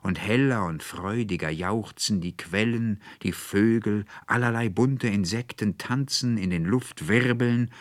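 Guy reading classic german fiction, really deep and slow, standard german accent, solemn, whispery trailing off, dry close sound. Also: no room sound. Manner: solemn, rapturous, drawn-out delivery. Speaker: literary reader. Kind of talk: dramatic literary audiobook reading.

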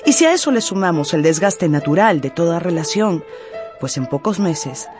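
A narrator telling a radio drama story in an expressive, theatrical way with drawn-out emphasis. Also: medium pitch, music in the background.

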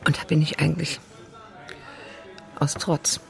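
A woman's voice: low-pitched, little pitch movement, measured pace, vocal fry, faint music behind, heavily acted. A woman playing a candid widowed grandmother in a naturalistic radio play, speaking frankly and a little hesitantly. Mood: candid, chatty. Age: 60s or older. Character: candid widowed grandmother at senior speed dating.

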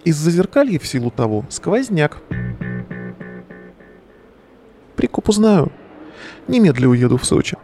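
A man's voice: a little high, very animated, normal speed, gravelly. A male actor playing a weary, sardonic bohemian in an audio play, delivering a verse monologue over the phone in an ironic, declamatory way. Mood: wry, brooding.

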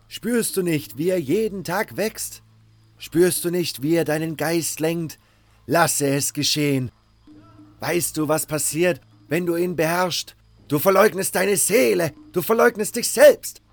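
A man's voice: on the high side, vocal fry. A man voicing a fanatical cult preacher in a fantasy audio drama, declaiming solemnly with insistent, rousing repetitions. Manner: declamatory, rhetorical, repeating phrases insistently.

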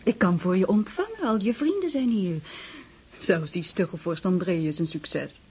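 A woman's voice: lowish voice, animated, normal speed, a little mellow, breathy edge. A woman acting in a radio drama as a vain, flirtatious countess and society hostess, coquettish and sophisticated. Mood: coaxing, poised.

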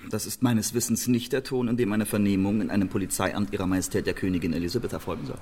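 An actor playing a defiant, witty playwright prisoner in a German radio drama, mocking, indignant and wry. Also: male voice, fairly low pitch, steady tone, quick pace, darkish tone, slight background noise, natural voice. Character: defiant, witty male playwright held prisoner under interrogation.

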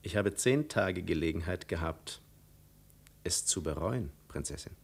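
An actor playing a cool, ironic adventurer posing as a prince, measured and sardonically polite, in 1950s radio drama style. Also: male voice, sits low, lively intonation, breathy edge, full character voice. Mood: cool, reserved.

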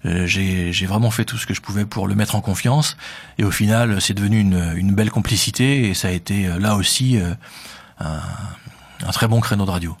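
A man with a Parisian accent, a radio host interviewed about his career, talking quickly with many fillers. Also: lowish voice, slightly rough. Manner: fast, hesitant with many fillers. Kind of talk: conversational interview.

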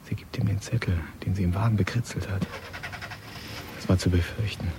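A man's voice: sits low, fairly even intonation, brisk, noisy background, close to an everyday voice. An actor playing a cold, calculating criminal man who mutters his scheming thoughts in clipped, sneering phrases in a radio play. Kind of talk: radio play interior monologue. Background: music.

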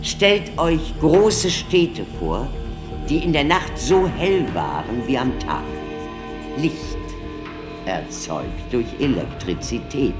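An actor in a German radio play as a theatrical doctor who lectures an audience like a showman, declamatory and deliberate. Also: mid-range voice, sing-song, over music. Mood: grave, rhetorical.

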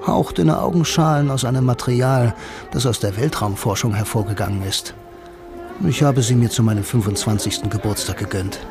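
Radio drama narration over clear music: a sardonic, self-assured blind bank employee played by a male actor. He confides in the listener with dry irony. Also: medium pitch, brisk, lightly acted. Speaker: first-person narrator and lead actor.

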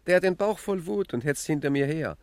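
An actor playing a cocky, cunning gentleman gangster boss with swaggering emphasis in a 1950s satirical crime radio play. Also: fairly high pitch, lively intonation, a little fast, clear, resonant tone, no echo. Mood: smug.

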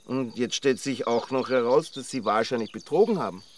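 A man's voice: mid-range voice, brisk, a little mellow, recorded from a distance, slight background noise, lightly acted. An actor playing a sardonic, gossipy village man in a radio drama, dry and knowing, with an Austrian accent. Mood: sardonic, amused. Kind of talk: conversational radio drama acting.

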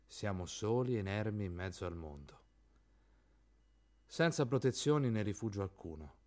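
An actor playing a reflective, lonely writer in a solemn, measured and rhetorical theatrical monologue. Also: male voice, on the low side, expressive, darkish tone, natural voice. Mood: reflective, resigned. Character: reflective male writer, soberly lamenting his exposed, lonely trade.

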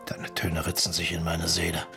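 Low-voiced, brooding theatrical man. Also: completely flat delivery, moderate pace, creaky, over music. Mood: mournful, rapt. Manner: theatrical, poetic, declamatory. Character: brooding, lyrical man of an earlier century. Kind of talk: German period radio drama acting.

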